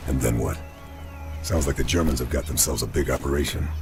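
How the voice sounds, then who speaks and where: A man's voice: rumbling low, a little fast, gravelly, music in the background. A man with an American accent playing a stoic, wry Allied wartime sniper in a game cutscene, speaking in a dry, understated way. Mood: dry, probing. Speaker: video game protagonist. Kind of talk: dramatic game cutscene acting.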